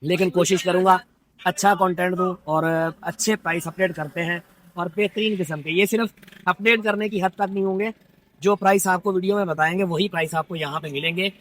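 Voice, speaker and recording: A man's voice: high voice, steady tone, brisk, a bit bright, low-quality recording. A man with a sore throat, hosting a casual shop tour vlog and chatting with shopkeepers and customers. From Pakistan. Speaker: vlogger host. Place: busy dry fruit shop.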